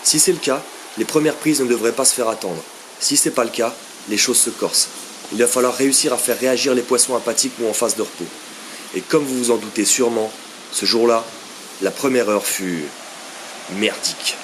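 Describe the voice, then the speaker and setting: A man's voice: medium pitch, fairly quick, noisy background. A man in his thirties narrating his fishing video in a scripted voice-over, with a wry, self-mocking humour. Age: 30s. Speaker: fishing vlogger. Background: background music.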